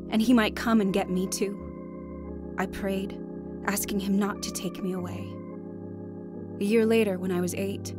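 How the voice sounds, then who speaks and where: A woman's voice: mid-range voice, close to an everyday voice. An actress playing a reflective woman narrating her own sorrowful story in a radio drama. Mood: reflective, sad.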